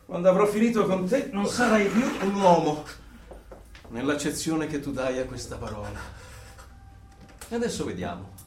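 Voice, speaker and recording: An actor plays a male interrogator for a totalitarian regime in a radio play. He lectures with cold, menacing authority and theatrical emphasis, in a slightly high voice. The sound is roomy, set back from the microphone, with some background noise. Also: expressive, moderate pace, pauses often, recorded from a distance, natural voice. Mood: lecturing, menacing. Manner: declamatory, lecturing, menacing, with sudden loud bursts.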